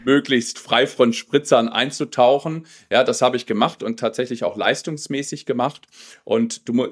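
A man in his forties talks about himself as a guest in an interview. His voice is strong and commanding at a middle pitch, and he speaks reflectively, often pausing and repeating words as he searches for the next thought. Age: 40s. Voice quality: loud, commanding voice. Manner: reflective, halting with frequent pauses and repeated words. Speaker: podcast guest, personal development coach. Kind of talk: personal storytelling in an interview.

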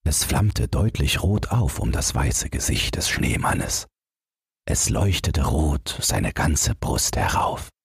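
Guy reading bedtime fairy tales, very animated pitch, slow pace, storyteller style. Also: vocal fry, husky, dry recording, clean studio sound. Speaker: narrator, podcast host. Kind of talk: bedtime fairy-tale narration.

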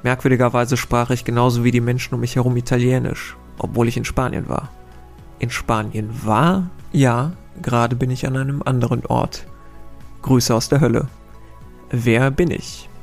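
A man's voice: mid-range voice, fairly even intonation, moderate pace, gravelly, soft background music. A man hosting a casual quiz podcast, reading out riddles and wandering into chatty, self-correcting asides.

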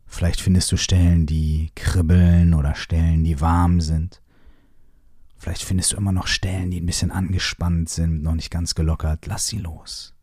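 A man leading a guided shaking meditation, giving repetitive instructions in a soft, partly whispered voice, with some casual swearing. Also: deep voice, level tone, moderate pace, breathy edge, no echo, clean studio sound. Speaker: movement exercise guide.

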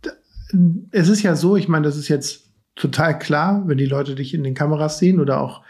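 A man's voice: fairly high pitch, brisk, a little mellow. A man hosting a podcast, asking long, chatty questions in a casual tone. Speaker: podcast host. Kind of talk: casual interview chat.

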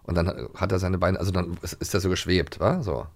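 A middle-aged man from East Germany chatting casually as a podcast co-host, telling stories in a rambling, halting way. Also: deep voice, steady tone, no echo, studio quality. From East Germany (former GDR). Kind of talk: casual chat.

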